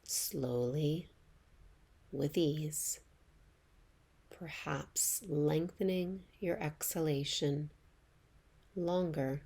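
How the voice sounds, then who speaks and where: Slow, low-voiced woman guiding meditation. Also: creaky, breathy edge. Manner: soothing, gentle, measured delivery with pauses. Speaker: yoga nidra meditation guide. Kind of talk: guided relaxation meditation, softly instructing.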